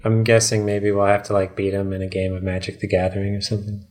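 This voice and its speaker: A man's voice: fairly low pitch, completely flat delivery, fast talker, a little mellow. A man chatting casually as a co-host, making dry, playful quips in reaction to what he hears. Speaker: podcast co-host. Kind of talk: casual joking chat.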